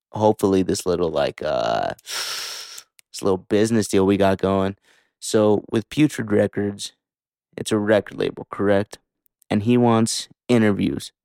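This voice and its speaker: A man's voice: on the low side, gravelly, a little mellow, clean tone, dry recording, clean studio sound. A man hosting a podcast in casual, hyped, slangy talk with some swearing, a native English speaker. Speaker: podcast host.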